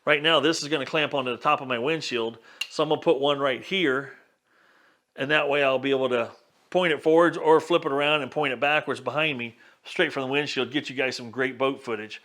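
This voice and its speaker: A man's voice: medium pitch, fairly even intonation, fairly quick, sharp tone. A man casually talking viewers through his boat gear setup in an upbeat, chatty way. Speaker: vlogger. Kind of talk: casual how-to vlog. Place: backyard workshop and boat.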